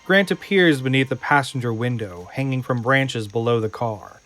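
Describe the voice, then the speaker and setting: A man's voice: mid-range voice, expressive, moderate pace, fairly bright tone. A man reading a screenplay as dramatic scripted narration. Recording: music behind the voice. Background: prominent music.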